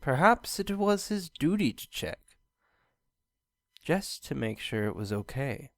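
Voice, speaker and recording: Lively, animated story narrator, dry sound. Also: mid-range voice, a little slow, no room sound. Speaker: fan fiction reader, narrator.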